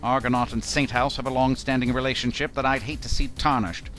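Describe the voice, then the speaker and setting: A man's voice: mid-range voice, steady tone, brisk, fairly bright tone, faint music behind, lightly acted. A man playing a businesslike commander in an audio drama, giving briefings in a formal, composed manner. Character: businesslike male commander briefing mission teams.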